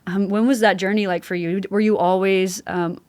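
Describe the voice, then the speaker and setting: A woman's voice: medium pitch, fairly even intonation, normal speed, darkish tone, no room sound. A woman hosting a casual interview, chatty and affirming as she talks with her guest. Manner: chatty, affirming, encouraging listener. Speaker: podcast host, interviewer.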